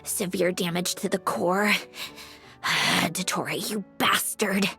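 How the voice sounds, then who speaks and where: A woman's voice: lowish voice, steady tone, slow, creaky, pushed and bright, soft background music, exaggerated voice. A woman voicing a sardonic, weary mechanical puppet researcher in a video game, speaking in a dry, analytical way. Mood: grim, bitter. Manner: analytical, dry, clipped self-talk.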